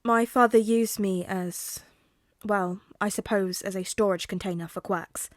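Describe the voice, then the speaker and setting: A woman playing a guarded father, speaking in a measured, formal way as in an audiobook story. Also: mid-range voice, steady tone, no room sound, clean studio sound, natural voice. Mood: hesitant, explaining.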